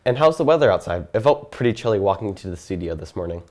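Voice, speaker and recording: A man's voice: on the low side, big pitch swings, quick pace, a little mellow. A teenage boy anchoring a school news show, reading scripted handoffs evenly. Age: teens.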